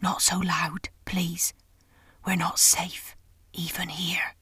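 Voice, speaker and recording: Storybook beaver, hushed and throaty, nervous and secretive, voiced by a woman reader. Slow, dry close sound. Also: on the low side, little pitch movement, no echo, full character voice. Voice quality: hoarse throaty whisper. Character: wary whispering male beaver, secretive and nervous.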